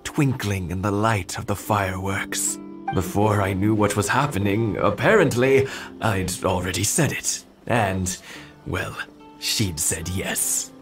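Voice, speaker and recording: An actor playing a gentle elderly husband in a video game, thoughtful, nostalgic and a little hesitant. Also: male voice, fairly low pitch, unhurried. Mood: fond, nostalgic. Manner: hesitant, reflective. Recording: music under the dialogue.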